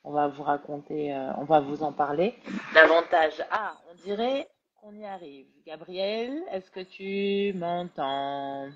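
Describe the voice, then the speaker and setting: Congested woman hosting a relaxed live interview. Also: low-pitched, slow. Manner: relaxed, chatty, hesitant with many fillers. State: congested from a cold, nasal. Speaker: interview host. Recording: lo-fi live-stream audio.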